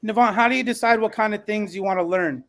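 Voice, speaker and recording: A man's voice: high-pitched, fast, a bit bright. A man hosting and moderating an online panel discussion, speaking in an articulate, rhetorical way. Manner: articulate, rhetorical, emphatic listing. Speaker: podcast host and moderator. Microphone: online call.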